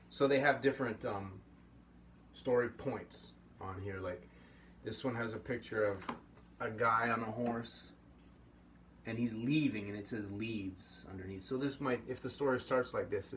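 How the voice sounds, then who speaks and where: Easygoing middle-aged man teaching puppetry. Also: mid-range voice. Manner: easygoing, rambling, encouraging. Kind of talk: casual workshop lesson. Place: indoor studio room at a table. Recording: slightly distant mic, faint room hum.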